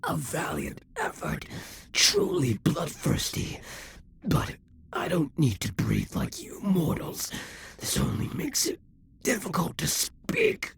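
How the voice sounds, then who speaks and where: A man playing a vengeful, defeated demon king in an audio roleplay, taunting and grandiose, with a husky, breathy voice that often drops to whispers. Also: on the high side, huge pitch swings, a bit bright, heavily acted. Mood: pained, defiant. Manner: grandiose, menacing, deliberate dramatic delivery. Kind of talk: scripted audio roleplay monologue.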